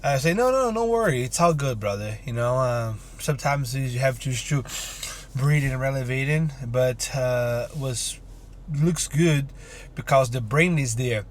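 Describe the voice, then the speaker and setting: A man's voice: medium pitch, expressive, a little slow, slight background noise. Man, upbeat rambling vlog. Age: 30s. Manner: rambling, upbeat, many fillers and restarts. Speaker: vlogger and online entrepreneur. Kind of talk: casual vlog talk to camera.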